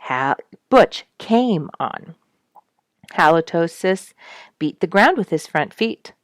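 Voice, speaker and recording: A woman reading a nature story aloud as a storyteller, with expressive narration and deliberate, dramatic emphasis. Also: on the low side, no room sound, clean studio sound. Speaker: storyteller reading an audiobook chapter.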